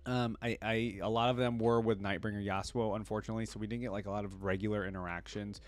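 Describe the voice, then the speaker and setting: A man's voice: lowish voice, little pitch movement, fast, soft background music. A man hosting a reaction video, giving casual commentary in an informal, musing way.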